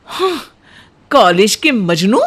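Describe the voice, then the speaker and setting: A woman voicing a jealous, possessive young wife in a cartoon story, with a dramatic, sharp-tongued, teasing delivery. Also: mid-range voice, big pitch swings, takes it slowly, slightly rough, exaggerated voice. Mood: angry, possessive. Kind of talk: cartoon storybook character acting.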